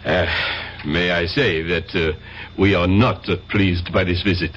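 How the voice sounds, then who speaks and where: An actor playing a courteous, defensive French police inspector in a radio drama, speaking haltingly with a French accent. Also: male voice, low voice, steady tone, a bit bright. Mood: guarded courtesy. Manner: hesitant, halting, formally polite. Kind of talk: 1970s radio drama acting. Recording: dull, noisy 1970s radio recording.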